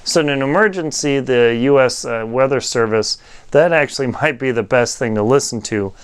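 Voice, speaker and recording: Man, clear smooth voice, medium pitch, casual reviewer, halting as he thinks aloud. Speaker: product reviewer. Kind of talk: casual unscripted product review.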